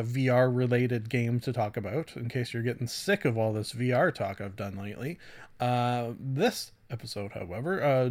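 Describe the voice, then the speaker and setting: A man's voice: medium pitch, a little mellow. A man hosting a casual solo review podcast, talking in a rambling, slangy and self-deprecating way. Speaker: podcast host reviewing books and games.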